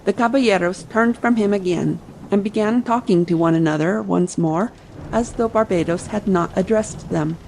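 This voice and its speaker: A woman's voice: mid-range voice, normal speed, gravelly, darkish tone, a little hiss or hum, rough recording. A woman reading scripted audiobook narration as the storyteller. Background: faint ambient sea sound.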